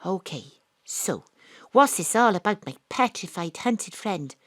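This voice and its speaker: A hard-drinking female detective narrates her own case in a wry, brash noir style, played by a woman. Her voice has an average pitch, and the recording is dry and close from a home studio mic. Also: animated, unhurried, a bit bright, no echo. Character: hard-drinking female private eye and former Welsh rugby star. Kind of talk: noir audio drama narration.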